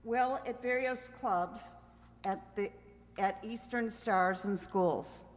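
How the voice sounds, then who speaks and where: A woman's voice: mid-range voice, slow pace, natural voice. Woman playing a cheerful mind reader, reverberant hall. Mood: self-assured. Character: cheerful, self-assured married female mind reader. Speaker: quiz show contestant. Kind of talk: old-time radio re-enactment.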